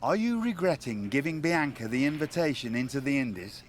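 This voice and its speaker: A man's voice: medium pitch, animated, fairly quick, close to an everyday voice. Game cutscene interviewer, played by a man: arch, theatrical, wordy, middle-register male voice. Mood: probing, arch. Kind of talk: video game cutscene acting.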